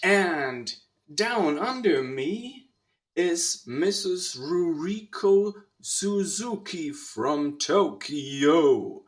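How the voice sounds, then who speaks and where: A man with a German accent hosting a casual online panel in a deliberate, halting way. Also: a little high, animated. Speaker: host. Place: online video call.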